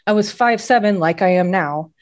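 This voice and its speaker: A woman co-hosting a podcast, speaking earnestly and personally in a measured way. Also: mid-range voice. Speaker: podcast co-host. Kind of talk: candid podcast conversation.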